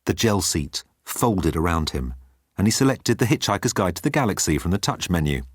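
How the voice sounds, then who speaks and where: A man's voice: deep voice, sing-song, fairly quick, breathy edge, no echo, studio quality. A man reading scripted comic science fiction narration as an audiobook narrator.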